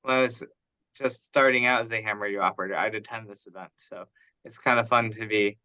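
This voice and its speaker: Guy chatting on a group call, really crisp bright voice, casual. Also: fairly low pitch, steady tone, brisk. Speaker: meeting participant. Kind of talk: casual chat on a conference call.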